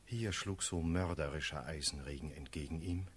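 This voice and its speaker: Very deep, grave officer. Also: male voice, slight background noise. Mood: solemn, grave. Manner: solemn, measured declamation. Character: grave cavalry officer reporting a lord's fall in battle. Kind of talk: classical German radio play verse acting.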